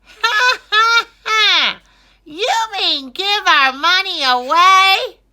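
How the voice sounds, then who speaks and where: A woman voicing a selfish, scoffing member of a storybook crowd, loud and mocking. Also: very high-pitched, expressive, very slow, pitched above the performer's own voice, exaggerated voice. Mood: mocking, incredulous. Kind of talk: children's storybook character voice.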